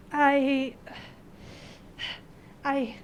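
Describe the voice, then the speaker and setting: A woman acting a lovelorn, earnest gentleman who speaks haltingly in a radio drama. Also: high voice, little pitch movement, slight background noise. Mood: halting, sorrowful. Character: lovelorn gentleman suitor, hesitant, earnest witness.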